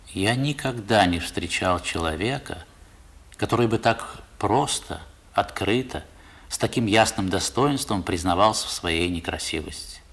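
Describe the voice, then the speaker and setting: A low-pitched man recalls his boyhood as narrator of a 1960s radio play. His delivery is measured and literary. The tone stays reflective. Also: vocal fry, fairly bright tone, full character voice.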